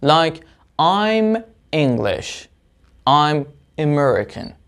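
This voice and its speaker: A man giving an English lesson to viewers, explaining deliberately as a teacher with example phrases. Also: fairly high pitch, clear, resonant tone.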